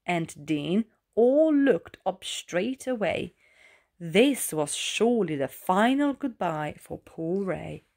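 A woman narrating a rhyming children's storybook aloud with dramatic, deliberate phrasing. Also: medium pitch, a little mellow.

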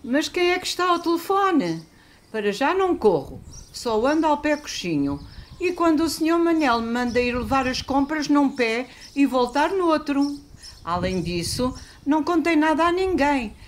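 Radio soap grocery girl played by a woman: young, European Portuguese, naive, protesting, really animated pitch. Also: moderate pace. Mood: innocent, puzzled. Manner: innocent, protesting, comically literal. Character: flustered, naive young female grocery assistant. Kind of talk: old-fashioned radio soap acting.

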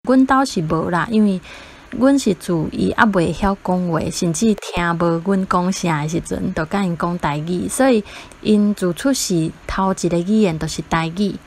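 A woman's voice: on the low side, normal speed. A woman with a Taiwanese accent, sharing her experience as a parent in a casual interview.

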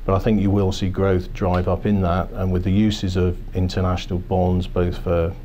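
A low-voiced man in his fifties explains business matters in a steady, corporate way and keeps circling back to restart his phrases. His tone is dark and fairly flat. He is recorded seated in a quiet office with faint ambience behind him. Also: normal speed, a little hiss or hum. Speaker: financial services executive. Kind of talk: business interview. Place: office, indoors.

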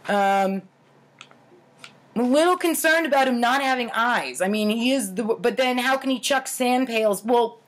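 A woman in her thirties with an American accent, reading stories aloud in a theatrical, wry, deliberate way with casual asides. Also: fairly high pitch. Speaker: host of a storytime series. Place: home kitchen, indoors.

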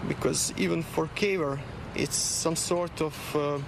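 Brief: gravelly male rescue official, higher-pitched, halting speech, loud street noise. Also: steady tone. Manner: halting, searching for words. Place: outdoors by a building.

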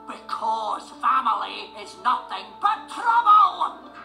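A woman acts out a blustering old male duck from a storybook. The voice is gravelly and high, gruff and bold, with music behind it. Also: big pitch swings, takes it slowly, sharp tone, exaggerated voice. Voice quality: gravelly, creaky. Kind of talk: storybook read-along character voice. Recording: roomy sound over music.

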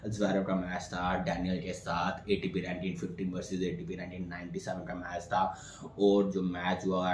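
A man's voice: low voice, darkish tone. A young man giving chatty post-match tennis analysis to camera, talking to viewers as friends, from a small indoor room. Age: young adult. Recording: echoey room with faint background noise.

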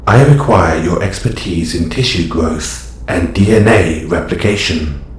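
A man playing a calm, formal robot superhero in an audio roleplay, speaking in stilted, measured phrases. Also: deep voice. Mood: firm, guarded. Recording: roomy echo, slight background noise.

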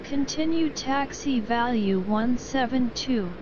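A computer-generated woman voicing a brisk airline pilot, reading clipped, formulaic calls through a radio filter. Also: a little high, lively intonation, a little mellow. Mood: brisk, procedural. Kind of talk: clipped air traffic radio readbacks. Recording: dull radio sound over noisy airport ambience.

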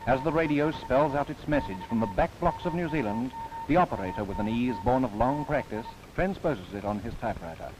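A man's voice: mid-range voice, expressive, over music. 1930s newsreel-style male narrator, sweeping intonation. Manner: formal, measured, earnest delivery. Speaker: film narrator. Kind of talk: 1930s documentary film narration, scripted. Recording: noisy 1930s film soundtrack.

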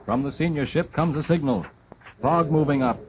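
A man's voice: on the high side, normal speed, a little hiss or hum. A man reading scripted wartime documentary narration in a dramatic, solemn 1940s newsreel manner. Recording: dull 1940s film soundtrack.

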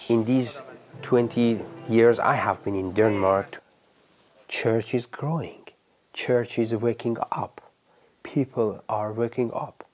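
A man from Iran, a pastor, giving his testimony in halting speech that trails off into near whispers at the ends of phrases. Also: medium pitch, fairly even intonation, darkish tone. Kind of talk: testimony interview.

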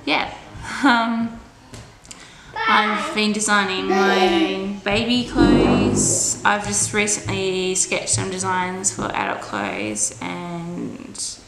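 A woman's voice: medium pitch, expressive, drawn-out words, roomy sound. A young Australian woman in her twenties chatting casually to camera at home, hesitant and stop-start with a slight stutter. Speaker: vlogger. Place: inside a house. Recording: clear background noise on home camera audio.

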